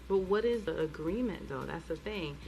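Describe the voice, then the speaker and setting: A woman with a low-pitched voice co-hosting a podcast in casual chat. She answers in a measured, thoughtful way and often hedges. Faint music plays underneath. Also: expressive, a little mellow, husky. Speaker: podcast co-host.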